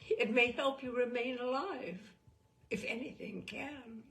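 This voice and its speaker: A woman reading a humorous book aloud as a storyteller, in a deliberate, theatrical and wry manner. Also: a little high, vocal fry, a bit bright. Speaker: reader of humorous book selections. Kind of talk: dramatic storytelling read-aloud. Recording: distant microphone.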